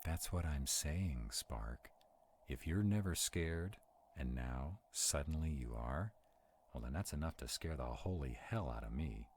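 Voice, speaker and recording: Man playing a very deep-voiced sarcastic vampire. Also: expressive, measured pace, darkish tone, a little hiss or hum. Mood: uneasy, wry. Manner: sarcastic, conversational. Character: sarcastic male vampire, protective of a young demon companion. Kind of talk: audio roleplay acting.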